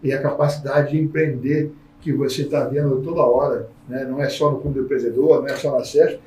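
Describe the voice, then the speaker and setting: A man hosting a casual podcast conversation, a lively storyteller who acts out the people in his anecdotes. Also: medium pitch, brisk. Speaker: podcast host. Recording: roomy sound from a distant mic.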